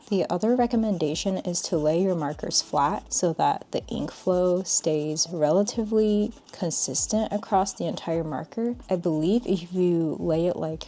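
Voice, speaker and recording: A young woman with a low-key, monotone voice, calmly chatting while she reviews art markers. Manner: calm, unhurried, says "like" often. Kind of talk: casual product review chat.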